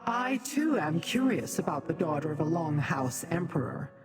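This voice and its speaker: Aloof demon schemer for a game, haughty and formal, pitch moves a lot, music behind. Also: female voice, sing-song, moderate pace. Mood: aloof, measured. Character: proud, aloof demon schemer, secretive and self-serving. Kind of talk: video game character acting.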